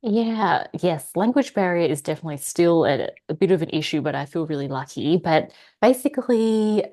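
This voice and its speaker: Woman, young adult, deep voice, casual chat, giggly, hesitant. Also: expressive, normal speed, warm tone. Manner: laughing often, hesitant, many fillers. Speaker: guest psychologist.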